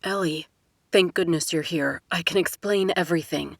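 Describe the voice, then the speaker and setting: A woman voicing an apologetic young man, speaking softly and sheepishly. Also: fairly low pitch, normal speed, vocal fry, no room sound, studio quality. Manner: soft, hushed, apologetic.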